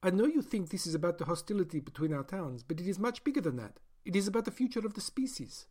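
A man's voice: high voice, very animated, fast talker, darkish tone, slightly rough, pitched above the performer's own voice, exaggerated voice. Man doing a prim, ruthless female doctor. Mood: cool polite. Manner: prim, coolly courteous, clipped. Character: polite ruthless female doctor experimenting on captives. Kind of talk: audiobook character voice.

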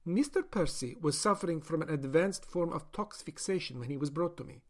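A coolly courteous woman scientist who talks of sacrifice with chilling calm, put on by a male narrator. Her speech is prim and clipped, pitched well above the reader's natural voice. It belongs to an audiobook thriller. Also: a little fast. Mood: cool polite. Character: polite ruthless female doctor experimenting on captives. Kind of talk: audiobook character voice.

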